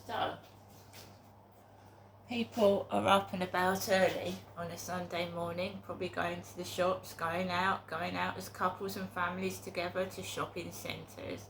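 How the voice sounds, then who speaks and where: A woman's voice: lowish voice, level tone, a little slow, a bit bright, a little hiss or hum, rough recording. A middle-aged woman with a cold and sore throat, talking in a rambling, hesitant monologue full of ums and restarts. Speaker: vlogger.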